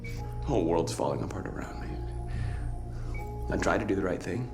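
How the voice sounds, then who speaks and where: An actor playing a troubled, gentle android man, earnest, hushed and halting, in a naturalistic game drama. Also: low-pitched, big pitch swings, gravelly, full character voice. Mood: lost, troubled. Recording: music behind the voice.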